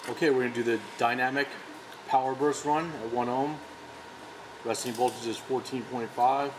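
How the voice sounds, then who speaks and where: A man's voice: medium pitch, steady tone, normal speed. Male product demonstrator, casual and matter-of-fact, noticeable workshop noise behind. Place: indoor workshop.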